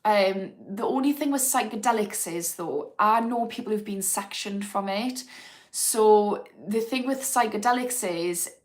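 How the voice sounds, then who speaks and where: A woman's voice: mid-range voice, unhurried. A woman, hosting a casual livestream and talking frankly about addiction recovery as she answers viewers' comments. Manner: chatty and frank, thinking aloud with fillers. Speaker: host of an addiction recovery channel.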